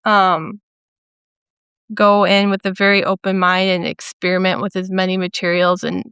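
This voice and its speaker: Young minnesota woman, kinda low smooth voice, thinks out loud w lots of ums. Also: level tone, moderate pace, no room sound, clean studio sound. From central Minnesota. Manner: thoughtful, hesitant, many ums and restarts. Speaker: painter, interview guest. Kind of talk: casual interview conversation.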